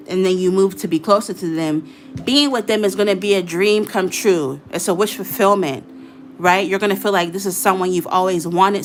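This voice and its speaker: A woman's voice: mid-range voice, a little hiss or hum. A woman giving a casual, chatty tarot reading, talking freely, repeating herself and swearing now and then. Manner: chatty, repetitive, casually profane. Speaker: tarot reader.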